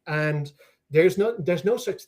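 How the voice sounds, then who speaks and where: Higher-voiced Dutch man, academic explainer. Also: slow pace, a little mellow. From Netherlands. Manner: restarts phrases, checks with "right?". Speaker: guest scholar.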